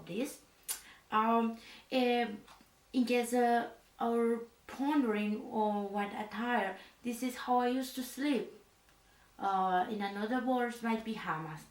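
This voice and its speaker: A woman voicing a female resistance leader in pajamas, speaking softly and haltingly, with many hesitant fillers, in an ASMR roleplay. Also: mid-range voice, roomy sound, recorded from a distance, lightly acted, poor audio. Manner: halting, slow, with hesitant fillers and a foreign-sounding phrasing. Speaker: leader of a sleeper resistance unit. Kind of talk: soft-spoken ASMR roleplay.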